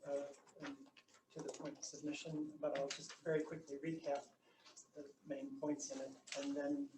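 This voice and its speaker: A soft-spoken man with a New Zealand accent, giving a formal submission to a council hearing with many fillers and restarts. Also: a little high, flat delivery, moderate pace. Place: council chamber. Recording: echoey room, distant mic, noisy.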